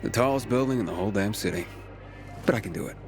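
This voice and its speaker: Game role: determined young man, confident and plain-spoken, slightly deep, with music behind. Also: lively intonation, quick pace. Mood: confident, teasing. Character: determined, cocky young male survivor hero. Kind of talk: video game voice acting.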